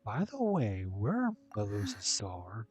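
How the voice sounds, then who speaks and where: Male gameplay streamer: lowish voice, plain and offhand, reading lines aloud over game music. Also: huge pitch swings, unhurried, a little mellow. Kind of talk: casual game playthrough, reading dialogue aloud.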